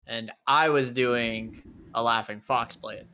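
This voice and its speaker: A man's voice: medium pitch, fairly even intonation, slow pace, pushed and bright, a little hiss or hum. A man playing a tabletop game, chatting casually and often repeating or rephrasing himself.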